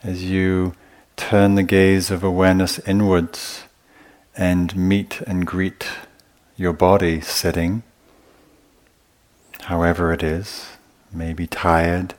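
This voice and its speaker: A man teaching meditation in a gentle, unhurried way, often pausing between short phrases as he gives a guided talk at a retreat. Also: low-pitched, flat delivery.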